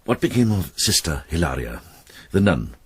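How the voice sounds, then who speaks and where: An actor playing a shrewd, kindly older monk in a radio drama, speaking in a measured, reassuring way. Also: male voice, deep voice, very animated, breathy edge, exaggerated voice. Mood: gentle questioning.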